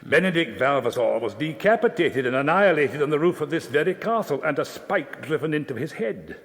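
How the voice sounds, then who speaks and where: A man's voice: a little high, lively intonation, brisk, a bit bright. Old Scots steward in his sixties, radio drama: lecturing, formal, swooping delivery, echoing room. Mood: pedantic, disdainful. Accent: Scottish. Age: 60s. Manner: lecturing, formal, scornful of superstition. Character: pedantic, frugal old Scottish steward and librarian in his sixties.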